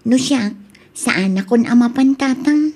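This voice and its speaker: A woman's voice: on the high side, unhurried, a little mellow. A woman acting an earnest, protective adult daughter in an Ilocano-accented radio drama. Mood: worried scolding. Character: protective, indignant adult daughter fretting over her father.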